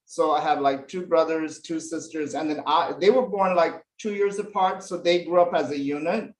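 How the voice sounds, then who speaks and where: A man's voice: fairly high pitch, moderate pace, poor audio. A man from Nepal, a fashion illustration professor, telling stories from his life as an interview guest, with lots of fillers. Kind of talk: relaxed storytelling interview answers.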